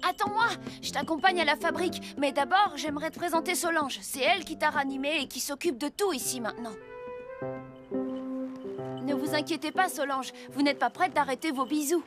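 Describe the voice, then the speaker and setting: A woman plays a young boy apprentice in a children's cartoon. The voice is high, frank and teasing, yet kind. Music is clearly audible underneath. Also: a little fast, close to an everyday voice. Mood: helpful. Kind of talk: French children's cartoon dubbing.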